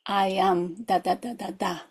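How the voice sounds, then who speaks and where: Female meditation teacher. Slow, reflective, questioning delivery in short phrases; soft-edged, mellow tone with a creaky grain. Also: medium pitch, steady tone. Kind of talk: guided meditation, gentle instruction.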